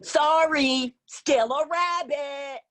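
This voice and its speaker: A woman's voice: high voice, extremely slow, a bit bright, pitched above the performer's own voice, exaggerated voice. A woman reading a children's picture book aloud as a playful, stubborn contrarian with a teasing, emphatic delivery. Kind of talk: animated children's read-aloud.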